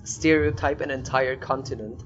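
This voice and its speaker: A man hosts a relaxed, casual interview. His voice has a middling pitch. The recording is a little muffled, with faint background noise. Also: normal speed. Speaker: podcast host and interviewer.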